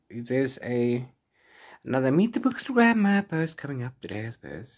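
Male book vlogger, forties, mid-pitched voice, unscripted and playful, tends to double back on his sentences. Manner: rambling, self-correcting, playful. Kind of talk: casual unscripted chat to camera. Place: small room at home with bookshelves.

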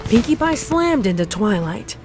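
A woman's voice: medium pitch, big pitch swings, moderate pace, darkish tone. A woman narrating a scripted comedic story as a storyteller. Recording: faint music behind the voice.

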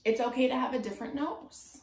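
A woman's voice: sits high, darkish tone. Young woman reading storytime in a roomy space. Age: 20s. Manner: simple, encouraging, teacherly phrasing with pauses. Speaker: preschool teacher reading a storybook. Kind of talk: children's storytime read-aloud with questions to young listeners. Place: small room at home, indoors. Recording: roomy indoor echo.

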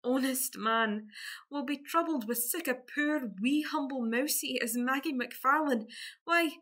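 Woman doing a coy young scottish village girl, high voice, broad dialect, comic radio play. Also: unhurried, fairly bright tone. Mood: tender, earnest. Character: pretty young country lass, sweet, modest, coy. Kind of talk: farcical radio play acting.

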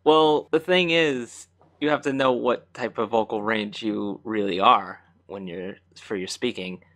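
A man's voice: on the low side, unhurried, fairly bright tone. A man co-hosting a casual podcast, earnestly explaining singing terms. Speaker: podcast co-host.

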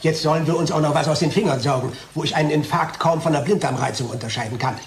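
A man's voice: a little high, quick pace, creaky. An actor playing a sarcastic, indignant male pharmaceutical sales manager in a radio play. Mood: exasperated. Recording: echoey room with background noise.

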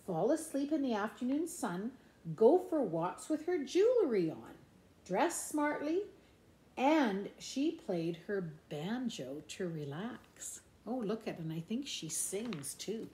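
A middle-aged woman reading a children's picture book aloud in an expressive, playful, sing-song way, with asides about the pictures. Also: a little high, slow. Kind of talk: animated children's storybook read-aloud with asides. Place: indoors in a room at home. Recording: echoey room.

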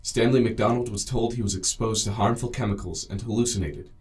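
A man acting as a sober documentary narrator in a radio play. His voice is low and mellow, presenting each recovered tape formally. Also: steady tone, fairly quick, close to an everyday voice. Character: sober male interviewer presenting recovered tapes. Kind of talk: scripted narration.

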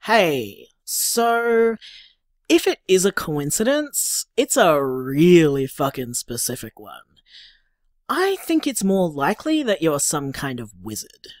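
A woman's voice: low voice, wildly swooping pitch, takes it slowly, no echo, clean studio sound, exaggerated voice. A woman with an Australian accent playing an upbeat, teasing young partner in a naturalistic audio drama, breezy and chatty. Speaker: podcast drama actor.

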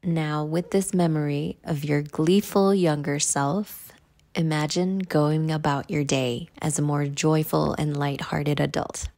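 A woman leading a guided meditation in a measured, instructional way. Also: low voice, fairly even intonation, gravelly, darkish tone.

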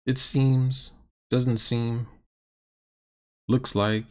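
A man's voice: mid-range voice, little pitch movement, very slow, a little mellow. A middle-aged man giving critical, sarcastic commentary on a roleplaying game in a grumbling, mocking way. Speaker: commentator reacting to a recorded roleplaying session.

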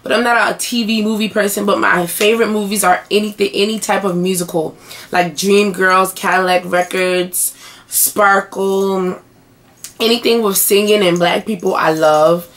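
A young woman vlogging in a small bedroom, hoarse and chatty as she answers tag questions to her webcam. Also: medium pitch, normal speed. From United States. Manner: chatty, animated hand gestures. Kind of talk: casual chat.

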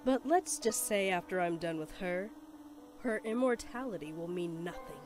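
A woman voicing a gloating villainess pony in an animated drama, sarcastic and menacing, with a mocking, drawn-out delivery. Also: on the low side, soft background music, close to an everyday voice. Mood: gloating menace. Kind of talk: animated fan audio drama acting.

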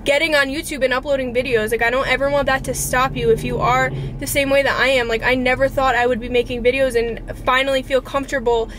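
A woman's voice: high voice, steady tone, quick pace, hardly any pauses, a bit bright. A woman in her twenties chatting casually and earnestly to camera as a vlogger, informal and mildly profane, recorded inside a car. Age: 20s. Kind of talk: casual confessional chat to camera. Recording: car interior with clear background noise.

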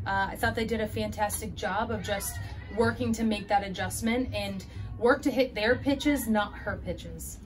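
Woman coach in her 30s, postgame interview, earnest with fillers, indoor hallway, camera close. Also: medium pitch. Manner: earnest, fillers and restarts, gestures while talking. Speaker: head softball coach.

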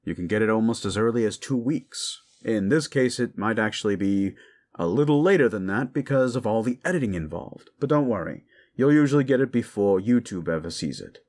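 A man's voice: lowish voice, expressive, brisk, a little mellow. A man speaking as host and voice actor, giving an enthusiastic, emphatic thank-you outro to listeners.

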